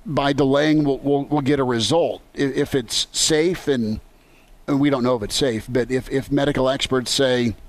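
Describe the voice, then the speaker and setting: American male sports radio host, conversational delivery, medium pitch, on location. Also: fairly even intonation, moderate pace, no echo. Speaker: sports talk show host. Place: on location at a golf tournament.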